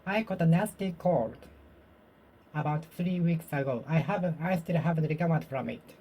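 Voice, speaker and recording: A Japanese-speaking learner practicing English alone, slowly and carefully repeating the same sentences, while getting over a cold. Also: mid-range voice, dark tone, slight background noise. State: recovering from a cold. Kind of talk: self-study speaking practice, repeating phrases aloud.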